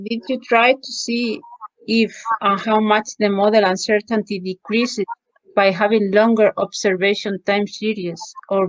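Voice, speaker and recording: A woman moderating a webinar, reading out audience questions in a halting way with fillers. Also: mid-range voice, dry recording, low-quality recording. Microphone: video call.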